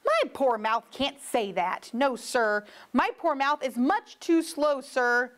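A woman's voice: high-pitched, sing-song, slow pace, fairly bright tone, heavily acted. A woman voicing a polite, flustered male storybook creature who pleads and complains in exasperation. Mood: exasperated.

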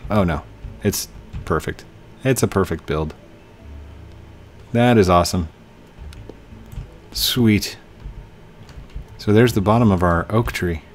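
Man with a low voice, chatting to game pieces. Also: slow, pauses often, a little hiss or hum. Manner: chatty, talks to game objects, hums while working. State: slightly losing his voice. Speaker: gaming channel host. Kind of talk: casual let's play commentary. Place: home room, indoors. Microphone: headset.

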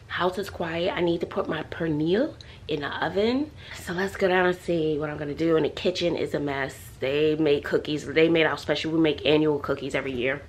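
A middle-aged American woman chatting casually to camera in a sassy, emphatic, comedic way, repeating herself for effect. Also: low voice. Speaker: vlogger. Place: indoors at home, mostly in a kitchen. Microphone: handheld or nearby camera at arm's length. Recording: echoey room with clear background noise.

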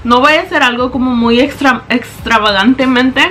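A woman's voice: high voice, normal speed, fairly bright tone, slight background noise. A young woman filming a makeup tutorial in her bedroom, talking into a camera in front of her and explaining each step in chatty Spanish with English words slipping in. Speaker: beauty vlogger. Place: small bedroom indoors. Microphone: camera in front at close range.

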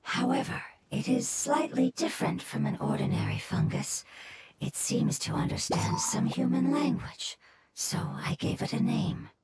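A woman voicing a gentle floating fungus creature in a video game, with soft, measured, reflective speech. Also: sits high, very noisy. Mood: gentle, thoughtful. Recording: game music under the voice.

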